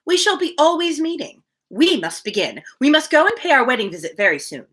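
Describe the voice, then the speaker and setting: A woman voicing a cheerful young gentlewoman, patient and coaxing, in a storybook reading. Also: high-pitched, very animated, brisk, pitched above the performer's own voice. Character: cheerful, reassuring young gentlewoman soothing her father.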